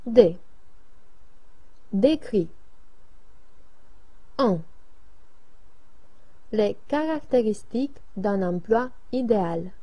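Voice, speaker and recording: A woman reads classroom exercises aloud at a slow, deliberate pace. Her voice is mellow and warm rather than bright, and her delivery stays even and careful. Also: mid-range voice. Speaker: French lesson reader.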